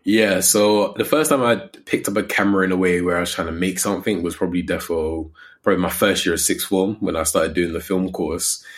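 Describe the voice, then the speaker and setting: A young English man in his twenties with a low-pitched voice talks as a podcast guest. His speech is informal and slangy, in the easy style of a casual chat about his own work. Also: steady tone, a little fast. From Coventry, England. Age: 20s. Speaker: podcast guest, digital creator.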